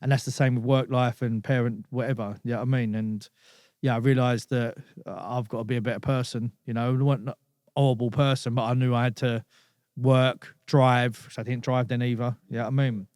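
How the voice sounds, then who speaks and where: A man's voice: mid-range voice, little pitch movement, brisk, darkish tone, no echo, studio quality. A man, a podcast guest telling stories in casual chat, laid-back and dropping "you know what I mean" often. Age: 30s.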